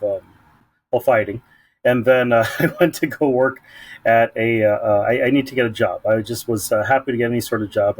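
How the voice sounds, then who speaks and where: A man from San Diego, a guest in an interview, talking about his work in a halting way with many ums and restarts. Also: medium pitch, a little mellow, rough recording. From San Diego, United States. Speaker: community program leader, guest. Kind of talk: conversational interview.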